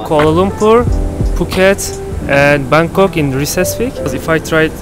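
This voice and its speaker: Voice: mid-range voice, unhurried. A young adult exchange student from Turkey giving interview answers. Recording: music over the voice. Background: background music.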